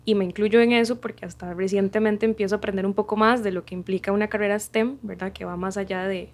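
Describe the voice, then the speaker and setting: A woman's voice: mid-range voice, fairly even intonation, quick pace. A young Costa Rican woman, a student interviewer, asking long, roundabout questions in a conversational, tentative way. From Costa Rica.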